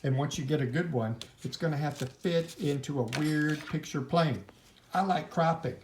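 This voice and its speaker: A man teaching art in an informal, chatty, rambling hands-on demonstration, easily drifting into asides. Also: mid-range voice. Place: at home, indoors. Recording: noisy home recording, clicks.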